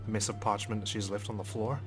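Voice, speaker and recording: A man's voice: on the low side, little pitch movement, brisk, soft background music. A man doing a let's play, reading a visual novel aloud with theatrical expression. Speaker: let's player. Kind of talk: dramatic read-aloud of a visual novel with asides.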